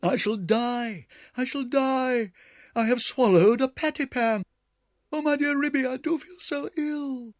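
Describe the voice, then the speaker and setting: A man's voice: medium pitch, huge pitch swings, measured pace, breathy edge, no room sound, studio quality, voice pushed up, full character voice. A man voicing a greedy, anxious female dog who whines and moans melodramatically. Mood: wailing. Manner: whining, melodramatic.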